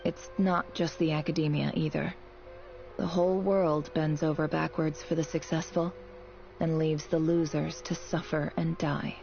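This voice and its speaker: A low, gravelly-edged young student. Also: female voice, level tone, measured pace, a little mellow, highs cut off, faint music behind. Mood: earnest, bitter. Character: young female academy student, guarded, wry and matter-of-fact. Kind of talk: video game voice acting.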